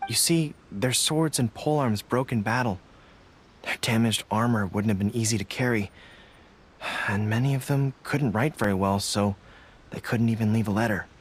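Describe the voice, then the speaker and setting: An actor playing an earnest, dutiful young army general in an anime video game, speaking formally and with resolve. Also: male voice, on the low side, moderate pace, a little hiss or hum, lightly acted. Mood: somber, regretful. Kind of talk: English-dubbed anime video game acting. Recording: game music behind the voice.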